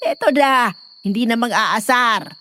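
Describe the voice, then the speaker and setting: A woman's voice: on the high side, big pitch swings, slow, sharp tone, a little hiss or hum, heavily acted. A woman playing a nosy, chatty middle-aged nanny who teases and dotes, in an audio drama. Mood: teasing, gossipy. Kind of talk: Filipino audio horror drama acting.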